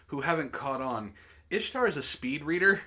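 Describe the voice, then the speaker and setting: A man's voice: on the high side, expressive, normal speed, bright, forceful tone. A man hosting a book club, reading prose aloud dramatically and breaking off into exasperated asides.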